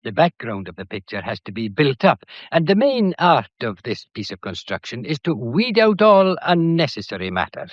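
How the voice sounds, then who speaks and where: Guy doing a shrewd old-school police detective for a radio whodunit, theatrical lecture, really animated intonation, dry close sound. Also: medium pitch, normal speed, few pauses, no echo, muffled recording, lightly acted. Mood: measured, lecturing. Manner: lecturing, courteous, faintly theatrical. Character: shrewd, methodical male police detective laying out a murder case. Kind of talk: British period mystery radio drama acting.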